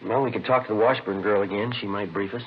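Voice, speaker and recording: An actor playing a terse, cool police detective in a clipped, matter-of-fact vintage radio crime drama style. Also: male voice, on the low side, steady tone, fast talker, slight background noise, lightly acted. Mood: businesslike. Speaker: lead actor and narrator. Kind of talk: 1950s radio crime drama acting, clipped report-like narration. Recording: dull vintage radio recording, music behind.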